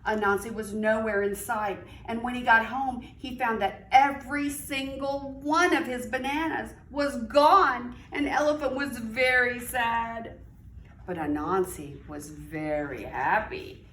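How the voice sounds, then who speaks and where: A woman reading a children's story aloud in an expressive way, with dramatic pauses and drawn-out key words. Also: a little high. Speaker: library storyteller reading a picture book to children. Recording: roomy, distant mic with faint noise.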